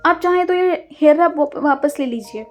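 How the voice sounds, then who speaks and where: A woman's voice: high-pitched, normal speed, faint music behind, pitched above the performer's own voice, natural voice. A woman voicing a sensitive, introverted young girl in an audio story, with an earnest, emotional way of talking. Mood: pleading. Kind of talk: acted dialogue in audio storytelling.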